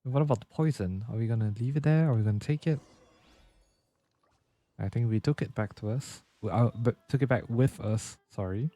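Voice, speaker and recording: A man's voice: mid-range voice, moderate pace, warm tone, no room sound. A man giving casual live commentary on a game, reacting in short murmured asides as a streamer.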